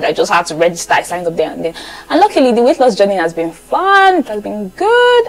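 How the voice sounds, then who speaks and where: A woman's voice: lowish voice, rough recording. A young woman from Lagos with a Nigerian accent, chatting casually to viewers and repeating phrases for emphasis. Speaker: vlogger.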